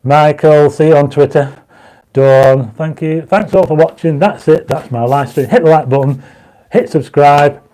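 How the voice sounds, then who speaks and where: Casting: man, croaky dry-mouthed livestream host, mellow tone, chats with viewers. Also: fairly high pitch, fairly even intonation, unhurried. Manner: reads viewer comments aloud, urges likes; enthusiastic when explaining stars. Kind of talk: casual livestream chat. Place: home astronomy studio.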